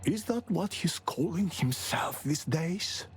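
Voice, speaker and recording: An actor playing a bored, sardonic ageless immortal in a video game, with a creaky voice and mocking, haughty drawl. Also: medium pitch, expressive, slow pace, a little hiss or hum. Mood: condescending. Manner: drawling, mocking, aristocratic condescension. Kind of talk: video game villain acting.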